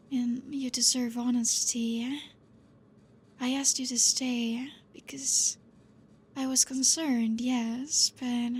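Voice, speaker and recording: Woman doing a possessive vampire teacher, ASMR, soft and breathy, very slow and even, gently teasing. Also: on the high side. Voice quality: soft, breathy, half-whispered. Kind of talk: ASMR roleplay.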